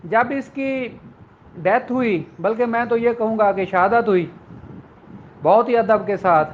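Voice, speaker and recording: A man giving an earnest motivational law lecture, addressing his listeners as sisters and brothers. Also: high voice. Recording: dull audio with faint background noise.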